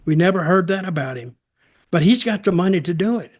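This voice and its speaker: A man's voice: high-pitched, animated, a little fast. A man co-hosting a podcast, giving casual opinions in a blunt, sarcastic, folksy way. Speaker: podcast co-host, wrestling commentator.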